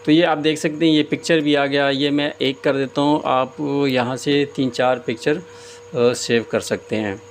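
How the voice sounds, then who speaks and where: A man giving a casual step-by-step screen tutorial as host, often pausing hesitantly mid-sentence. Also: medium pitch, steady tone. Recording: clear background noise, room ambience.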